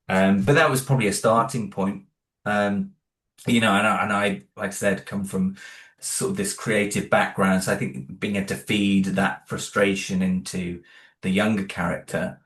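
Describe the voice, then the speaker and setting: A man being interviewed about the audio drama he created, hesitant, with many fillers and restarts. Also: low-pitched. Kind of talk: interview guest.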